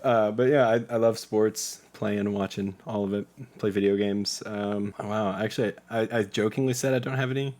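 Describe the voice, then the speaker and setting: A man's voice: fairly low pitch, steady tone, moderate pace, a little mellow. A young man being interviewed as a community theatre actor, chatting casually with slangy enthusiasm. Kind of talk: casual chat.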